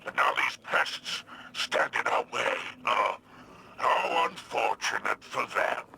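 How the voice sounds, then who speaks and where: An actor playing a sly, menacing alien space pirate crime lord in a video game, with a growling, gravelly voice and theatrical drawl. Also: male voice, mid-range voice, slow, noisy background. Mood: amused menace. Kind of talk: video game character dialogue. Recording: loud game ambience behind voice.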